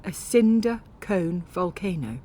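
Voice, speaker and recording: A woman's voice: on the low side, lively intonation, takes it slowly, dark tone, slight background noise. A woman narrating a literary first-person story as a scripted audiobook reading.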